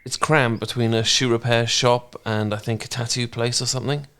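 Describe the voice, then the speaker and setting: A man playing a gentle, caring housemate in an intimate ASMR romance roleplay, speaking softly and reassuringly. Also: medium pitch, fairly even intonation, clean tone, lightly acted. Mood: relaxed, easygoing. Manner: soft, reassuring, unhurried conversational delivery. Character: gentle, caring male housemate, shyly fond and easygoing.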